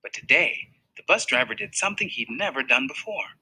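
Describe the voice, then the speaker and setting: Bright, husky man reading a novel aloud. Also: fairly high pitch, fairly quick. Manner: expressive storytelling, voices for characters. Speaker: reader.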